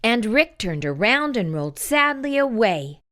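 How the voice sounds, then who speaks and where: A woman hosting storytime, narrating a children's picture book in an animated read-aloud style. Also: fairly high pitch, measured pace. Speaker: storytime host and reader.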